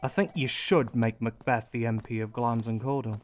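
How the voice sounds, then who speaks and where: A man's voice: mid-range voice, steady tone, moderate pace, a little hiss or hum, close to an everyday voice. A man playing a wry, sly political aide in a radio play, with a colloquial, needling manner. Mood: wry, scheming.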